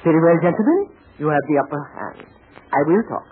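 An actress playing a sly, commanding villainess with a husky voice, a foreign accent and measured, theatrical politeness in an old radio serial. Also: female voice, low voice, expressive. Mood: mock-polite. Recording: tinny, noisy old radio recording.

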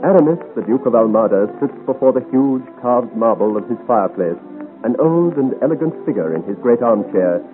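A man's voice: mid-range voice, lively intonation, fairly quick. A man narrating a 1950s radio drama in a literary, scene-painting, dramatic manner. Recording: tinny vintage radio recording. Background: loud-ish music.

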